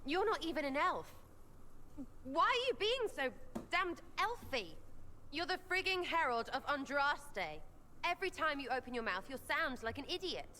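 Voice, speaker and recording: A woman's voice: high-pitched, very animated, normal speed, bright, forceful tone, in a large hall, a little hiss or hum, exaggerated voice. A woman playing a brash, blunt young archer in a video game, slangy and scornful. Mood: exasperated. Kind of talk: video game character dialogue.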